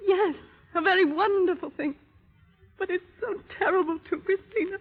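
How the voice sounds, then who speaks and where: A woman's voice: very high-pitched, normal speed. A woman playing an anxious, pleading young wife and mother in an old radio drama, urgent and melodramatic. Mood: tearful, exalted. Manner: melodramatic, urgent, breathlessly earnest. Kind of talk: 1930s radio drama acting. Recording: thin, lo-fi old radio recording.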